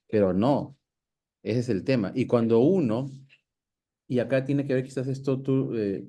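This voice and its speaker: A man's voice: mid-range voice, mellow. A man, hosting a talk and reflecting thoughtfully with many fillers. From Peru. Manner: reflective, asks the guest's view, many fillers. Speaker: host. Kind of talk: casual conversational talk.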